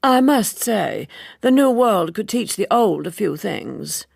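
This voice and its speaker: A woman's voice: medium pitch, sing-song, slow pace, creaky, dry recording, clean studio sound, full character voice. Woman playing a prim, chatty young American woman. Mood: opinionated.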